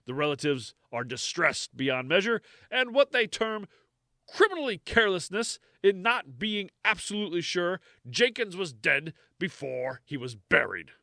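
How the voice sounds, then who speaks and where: A man putting on the voice of an old-time radio newscaster, reading a period news report in a brisk, clipped, high announcer style. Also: sing-song, gravelly, pushed and bright, no room sound, studio quality, exaggerated voice. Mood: formal.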